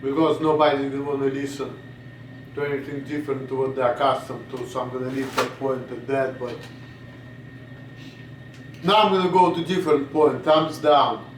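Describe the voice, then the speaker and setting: A man's voice: medium pitch, fairly even intonation, normal speed, pauses often, recorded from a distance, noisy background. Rambling Russian-accented young man, roomy echo. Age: 20s. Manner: blunt, swearing, drifts off topic. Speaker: vlogger. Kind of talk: rambling casual monologue.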